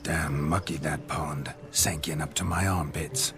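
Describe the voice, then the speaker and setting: Deep-voiced roguish flirt. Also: male voice, steady tone, measured pace, a bit bright, soft background music, full character voice. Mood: boastful, flirtatious. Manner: smug, teasing, florid old-fashioned phrasing. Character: roguish, flirtatious gentleman reveller, cocky and grandiose. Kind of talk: video game cutscene acting.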